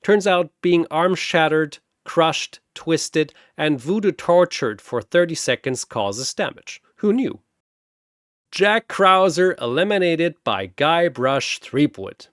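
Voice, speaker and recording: A man's voice: fairly high pitch, animated, slow, a bit bright, no echo, clean studio sound. A man narrating a scripted story in a wry, theatrical way.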